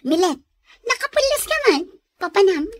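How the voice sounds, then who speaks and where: A woman's voice: very high-pitched, big pitch swings, moderate pace, no echo. A woman with an Ilocano accent playing an idle, easily offended, gossip-loving neighbor in a radio comedy, whiny and pestering.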